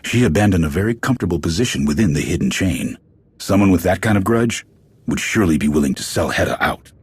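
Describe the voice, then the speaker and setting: A hard-edged clan commander with an extremely low, heavily rasping voice. He speaks firmly and bluntly, like a leader briefing soldiers in a video game cutscene. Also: expressive, fast talker, a little mellow, no echo, exaggerated voice. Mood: measured, persuasive. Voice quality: very deep, gravelly, husky. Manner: firm, blunt, authoritative. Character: stern gravel-voiced male military clan commander.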